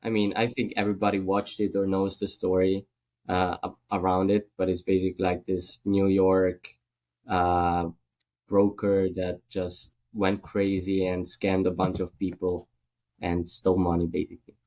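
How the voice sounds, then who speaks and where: A man's voice: sits low, completely flat delivery, moderate pace, a little mellow. A man from Italy with an Italian accent, a guest in a casual podcast interview, speaking thoughtfully with many fillers.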